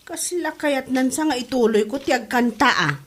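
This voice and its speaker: A woman's voice: sits high, moderate pace, a bit bright. A woman playing a stubborn, teasing young street sweeper who loves to sing, in an Ilocano comedy drama. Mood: stubborn, indignant.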